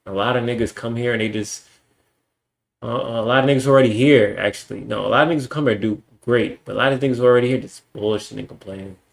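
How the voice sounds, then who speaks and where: Streamer, young adult male, mid-pitched and gravelly, blunt and emphatic, swears a lot. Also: quick pace. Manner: blunt, profane, emphatic ranting. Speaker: livestreamer. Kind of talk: casual stream monologue.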